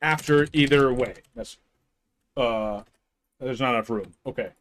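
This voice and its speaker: A man's voice: medium pitch, a little slow, fairly bright tone. A young man streaming live, chatting casually with his viewers in a rambling way, chuckling often and trailing off.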